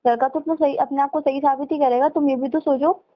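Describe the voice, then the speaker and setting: Muffled, high-voiced young woman, challenging. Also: fairly even intonation, fast talker. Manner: challenging, questioning. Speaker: girlfriend on a phone call. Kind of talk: argumentative private phone conversation. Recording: dull muffled phone call audio.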